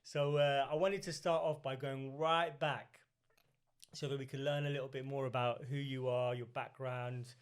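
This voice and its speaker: A man's voice: mid-range voice, level tone, normal speed. A man with a southern English accent, talking casually as a podcast host and interviewer. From UK, grew up in Reading.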